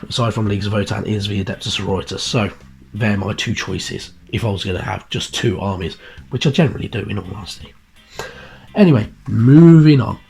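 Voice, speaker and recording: A man with a heavy cold hosts his hobby channel in a lowish, husky voice, sounding croaky and bunged up. He rambles casually, full of fillers and restarts. Soft guitar music plays quietly under a cheap-sounding mic. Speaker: miniature painting channel host. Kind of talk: casual video chat about a magazine issue.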